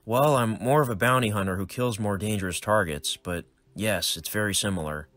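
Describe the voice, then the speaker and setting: A man playing a weary, protective middle-aged hitman father, speaking in a steady, earnest voice. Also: on the low side, fairly quick, lightly acted. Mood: somber.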